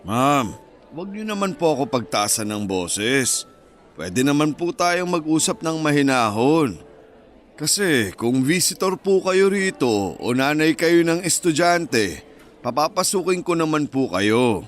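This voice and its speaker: An actor in a Filipino radio drama playing a stubborn university security guard who is polite, firm and by the book. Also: male voice, a little high, big pitch swings, measured pace, a little hiss or hum.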